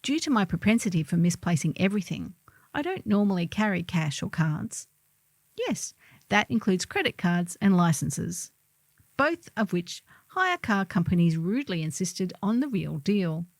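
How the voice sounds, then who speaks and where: A woman's voice: fairly low pitch, big pitch swings, moderate pace, no echo. A middle-aged woman reading a humorous first-person column in a wry, theatrical storytelling manner.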